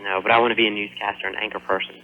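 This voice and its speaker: A man's voice: on the low side, level tone, very fast. A fourteen-year-old American boy calling a radio show, chatting casually, polite but a little defensive. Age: 14, teenager. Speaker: caller, high school student. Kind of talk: casual phone-in conversation. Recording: dull lo-fi radio phone-in.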